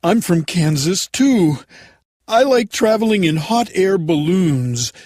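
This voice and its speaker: A man's voice: expressive, takes it slowly, darkish tone, no echo, clean studio sound, full character voice. A man voicing a timid little old man who poses as a great wizard in a storybook reading, talking meekly. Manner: booming at first, then meek and apologetic.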